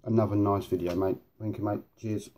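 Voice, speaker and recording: A man's voice: fairly low pitch, steady tone, brisk, mellow. A man hosting a casual, rambling hobby vlog in informal, matey slang, with a sniffly, blocked nose. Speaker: host of a hobby video series.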